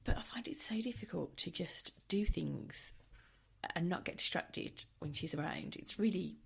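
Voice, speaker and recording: A woman hosting a casual solo podcast, chatty and wry, with a tired, croaky voice, recording at home. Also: fairly low pitch, lively intonation, normal speed. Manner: chatty, wry and self-deprecating. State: hoarse, tired. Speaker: podcast host.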